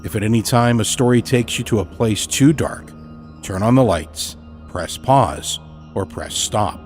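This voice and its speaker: A man delivering a scripted podcast introduction as host, in a measured, deliberate manner. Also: on the low side, animated, darkish tone, soft background music.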